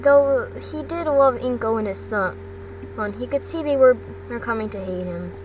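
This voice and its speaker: Female amateur storyteller, higher-pitched, halting delivery with repeats, recorded off-mic with clear background noise. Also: lo-fi sound. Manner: halting, stumbling, repeats phrases. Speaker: fan fiction narrator. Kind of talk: amateur storytelling read aloud. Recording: distant mic, noisy background hum.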